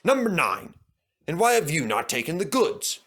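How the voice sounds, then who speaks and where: A man's voice: on the high side, very animated, normal speed, a bit bright, breathy edge, voice pushed up, heavily acted. A man voicing a stern, dignified railway controller who speaks in a pompous, formal, clipped way. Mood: suspicious.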